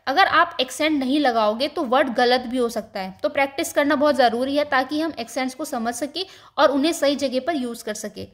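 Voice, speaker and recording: A woman with a high voice teaches a beginners' class, walking through slides one point at a time. She slows down to sound out each example word and drops English terms into her explanations. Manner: patient, slows down to spell out example words. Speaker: language teacher. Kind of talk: explaining a language lesson over slides.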